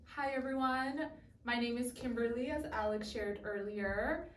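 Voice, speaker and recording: A woman's voice: on the high side, a little slow, far from the mic, slight background noise. A young adult woman from Southern California, a guest talking earnestly in an interview and repeating words as she thinks. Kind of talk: interview conversation. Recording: echoey room, voice from off mic.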